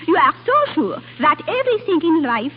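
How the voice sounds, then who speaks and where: An actress playing a playful, impulsive young Frenchwoman with a French accent and halting English in an old radio drama. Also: very high-pitched, sing-song. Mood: wistful, insistent. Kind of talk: 1930s-40s radio drama acting. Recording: tinny, hissy old radio broadcast.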